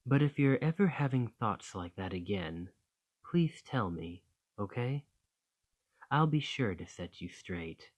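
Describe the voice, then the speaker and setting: A man's voice: lowish voice, lively intonation, unhurried, lo-fi sound. A man playing an affectionate, slightly possessive dragon boyfriend in an ASMR romantic roleplay, speaking tenderly and reassuringly. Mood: soothing, affectionate.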